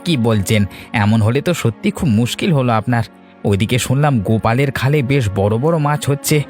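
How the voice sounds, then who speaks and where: A man's voice: medium pitch, expressive, a little fast, a little mellow, soft background music, natural voice. A man playing a sly, ingratiating henchman who schemes for a rich boss in a cartoon story. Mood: eager, ingratiating. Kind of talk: Bengali cartoon story acting.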